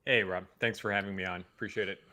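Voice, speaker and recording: A man with a fairly low voice chats as a guest on a pop culture show. He is measured and bookish, setting out details in a casual but precise way. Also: steady tone, sharp tone. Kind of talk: casual, informed fan discussion.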